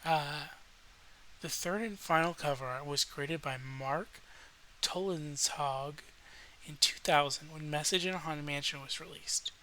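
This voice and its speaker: A man with a slightly rough voice, rambling in a relaxed, hesitant late-night style with frequent pauses, as a video host. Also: a little high, poor audio. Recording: noticeable faint background hiss.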